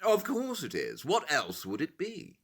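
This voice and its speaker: An actor playing a brilliant, sardonic English detective in an audio drama, lecturing in a crisp, superior manner. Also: male voice, fairly high pitch, huge pitch swings, measured pace, background noise. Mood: brisk, sardonic.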